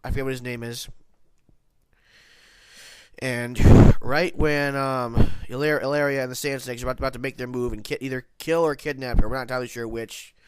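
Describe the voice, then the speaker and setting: A man's voice: medium pitch, steady tone, fairly quick, fairly bright tone, clean tone, no echo. A man with a nasal voice, congested from hay fever, giving a casual, rambling spoken review of a TV episode. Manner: rambling, many restarts and self-corrections.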